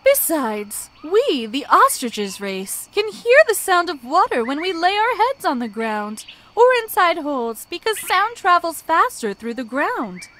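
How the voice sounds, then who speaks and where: A woman's voice: extremely high pitch, sing-song, a little slow, few pauses, bright, forceful tone, pitched above the performer's own voice, full character voice. A woman voicing a young female ostrich, friendly, proud and earnest, explaining things emphatically in a children's cartoon story. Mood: confident, explaining.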